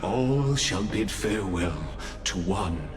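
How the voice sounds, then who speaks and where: A man playing an enigmatic, courteous game guide who speaks in a measured, grandiose, theatrical way. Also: fairly low pitch, expressive. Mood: solemn, knowing. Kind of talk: slow theatrical game narration. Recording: echoey voice over music.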